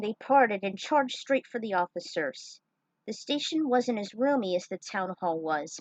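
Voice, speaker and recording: A woman narrates a piece of fiction in a dry, close recording with very little room sound. She sits at a middling pitch and slips between scripted reading and casual, chatty asides. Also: dry recording, recorded from a distance, dull audio.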